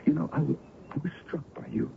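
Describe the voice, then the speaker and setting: An actor playing an older colonel and ardent, worldly suitor in an old-time radio drama, with a courtly, theatrical delivery. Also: male voice, a little high, fast, gravelly. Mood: wistful, romantic. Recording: dull, noisy vintage radio recording.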